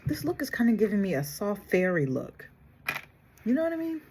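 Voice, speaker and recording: A woman vlogger chatting casually through a makeup tutorial, soft-spoken and trying to project with a sore, strained throat. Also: medium pitch, sing-song, brisk, background noise. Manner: chatty, addresses viewers directly, trails off and restarts. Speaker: beauty vlogger.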